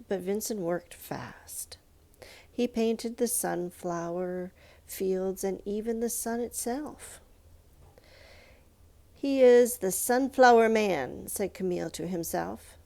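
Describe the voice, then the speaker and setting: Slow, mellow woman reading a storybook. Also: medium pitch. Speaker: storybook reader. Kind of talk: scripted narration of a children's picture book.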